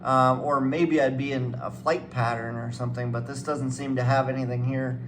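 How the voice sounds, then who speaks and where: A man's voice: medium pitch, flat delivery, moderate pace. A man giving casual hands-on commentary as a flight simulator guide, rambling and thinking aloud. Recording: clearly noisy room background.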